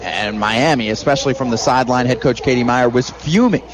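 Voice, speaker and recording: A man's voice: medium pitch, a little fast. A man with an American accent giving emphatic, analytical color commentary on a live radio basketball broadcast from an arena.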